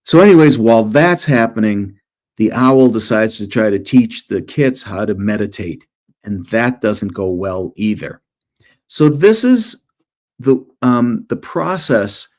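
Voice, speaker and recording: A man speaking informally as a children's author and illustrator presenting to students, with animated storytelling and self-deprecating humor. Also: fairly low pitch, measured pace.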